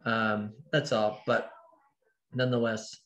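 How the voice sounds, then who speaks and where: A man's voice: lowish voice, level tone, slow pace, a bit bright, rough recording. A man from Massachusetts chatting casually on a video call, joking and poking fun at himself. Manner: joking, self-mocking.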